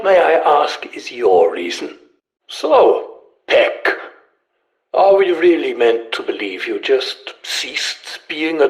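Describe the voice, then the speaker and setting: An actor playing an aging, polite but indignant German scientist in a video game, speaking in a formal, pedantic, theatrical way with a German accent. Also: male voice, medium pitch, vocal fry, husky, dry recording, exaggerated voice, rough recording. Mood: indignant, scornful. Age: older. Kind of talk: video game character voice lines.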